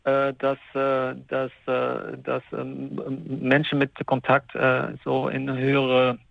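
Guy on a muffled lo-fi call, gravelly and flat, keeps stumbling with lots of uhs. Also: medium pitch, dry recording. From Netherlands. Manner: halting, many fillers and restarts. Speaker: interviewee. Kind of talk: remote interview. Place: apartment.

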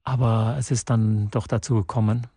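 A man born in Portugal and raised in the Netherlands, guesting in a radio studio and answering haltingly with many restarts and fillers. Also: fairly low pitch, flat delivery, warm tone, breathy edge, dry recording. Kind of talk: radio interview.

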